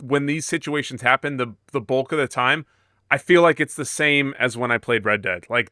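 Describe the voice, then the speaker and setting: A man's voice: medium pitch, bright, forceful tone, smooth, dry recording, studio quality. A man co-hosting a podcast in casual chat, informal and emphatic about his opinions. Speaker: podcast host.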